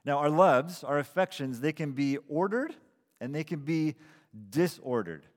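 A man's voice: on the high side, expressive, measured pace. A man of about forty giving a casual, self-deprecating sermon as pastor, with frequent restarts. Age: 40s. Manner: conversational, self-deprecating preaching with repeated starts. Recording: long hall reverb.

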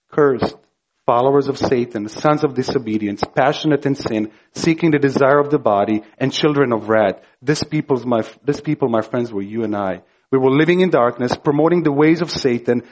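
A man from Croatia with a Croatian accent, preaching a sermon earnestly and emphatically, slow and building to fervor. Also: fairly high pitch, rough recording. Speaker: pastor.

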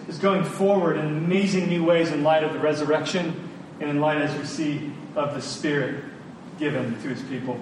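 Echoey, high-pitched man preaching. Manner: emphatic, repeats phrases for stress; informal, colloquial asides to listeners. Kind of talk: sermon. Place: large room, speaking to a congregation. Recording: echoey room, distant mic, faint rumbling noise.

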